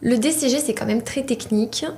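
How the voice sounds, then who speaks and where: A woman's voice: a little high, fairly quick, darkish tone, slight background noise. Young woman, hesitant interview guest. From Poland. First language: Polish. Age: young adult. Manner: hesitant, many fillers and restarts. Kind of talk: casual interview.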